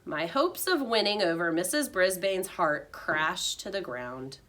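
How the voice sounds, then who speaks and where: A woman reading a children's storybook aloud like a teacher, with expressive narration. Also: on the low side, measured pace.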